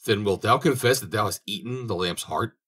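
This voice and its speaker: A man's voice: fairly low pitch, fairly quick, fairly bright tone, voice pushed up. A man voicing a stern, saintly old man who speaks in solemn, archaic phrases.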